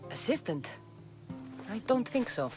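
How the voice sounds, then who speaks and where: A woman's voice: a little high, sing-song, measured pace, a little mellow. A woman playing a confident young mercenary in a video game, with a direct, dry, skeptical delivery. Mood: dry, assured. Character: blunt, confident young female Greek mercenary. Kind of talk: video game cutscene acting. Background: music.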